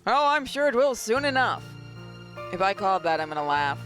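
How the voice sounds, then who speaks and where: A let's play gamer with a slightly hoarse, under-the-weather voice, reading narration aloud with joking, self-mocking asides. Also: medium pitch, sing-song, moderate pace, fairly bright tone, soft background music, low-quality recording. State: slightly hoarse, getting sick. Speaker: gaming YouTuber.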